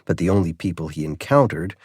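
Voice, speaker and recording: Mystery audiobook narrator: adult male, lower register, scripted prose, close dry sound. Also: animated, fast, darkish tone, breathy edge, no echo.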